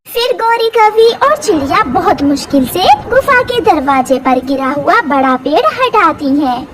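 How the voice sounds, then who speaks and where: A woman telling a cartoon story as narrator, slow and even. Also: extremely high pitch, a loud crowd.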